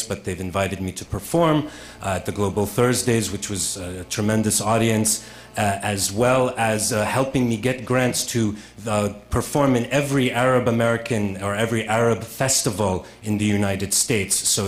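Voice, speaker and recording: A man giving a conference lecture, speaking haltingly and repeating words with many fillers, in a museum lecture room. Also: low-pitched, echoey room.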